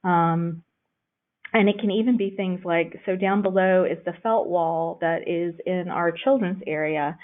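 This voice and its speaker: A woman lectures in a relaxed, conversational way, filling her pauses with "um." Her voice sits a little low. The recording is thin and narrow, like a phone line. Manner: conversational, frequent "um" fillers. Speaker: children's librarian giving a lecture.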